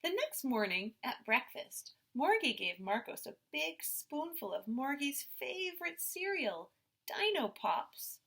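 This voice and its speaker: Slow, animated woman reading to children. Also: sits high. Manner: expressive, simple clear phrasing for children. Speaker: first grade teacher reading aloud. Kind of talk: picture book read-aloud for children.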